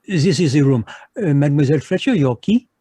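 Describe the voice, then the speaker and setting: An actor playing a fussy, courtly Belgian detective, in a live radio drama over a video call. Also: male voice, fairly high pitch, expressive, brisk, mellow, husky, no room sound. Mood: smug, courtly. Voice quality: precise, courtly French-inflected delivery. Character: fussy male Belgian detective, polite and sly.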